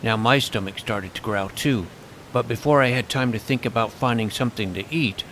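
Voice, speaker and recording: A man's voice: medium pitch, normal speed, fairly bright tone, a little hiss or hum, rough recording. A man narrating a comic fantasy adventure in the first person as its self-important young hero.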